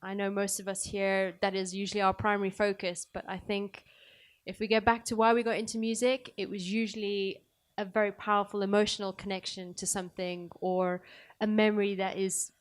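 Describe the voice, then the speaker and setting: A woman's voice: mid-range voice, level tone, brisk. A woman moderating a panel discussion, introducing guests in an informal, conversational way.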